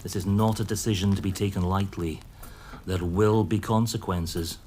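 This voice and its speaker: An actor playing a cautious, kindly gentleman in a period audio drama, polite and considered in his speech. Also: low-pitched, fairly even intonation, normal speed, slight background noise, natural voice. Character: cautious, kindly Scottish gentleman, loyal friend.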